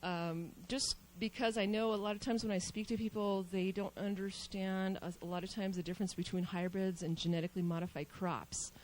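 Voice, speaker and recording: A woman who farms organically and studies for a doctorate, giving a conference talk over slides, quick and informal with many ums and restarts. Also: medium pitch, fairly even intonation, a little mellow, slight background noise, low-quality recording. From New Mexico. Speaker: panel speaker, organic farmer and doctoral student. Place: conference hall. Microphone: handheld mic.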